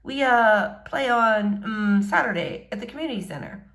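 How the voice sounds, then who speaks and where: A woman's voice: on the high side, expressive, fairly bright tone. A woman voicing a nervous, lovestruck schoolboy in a storybook who turns defiant when pushed. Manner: halting when shy, scornful when provoked.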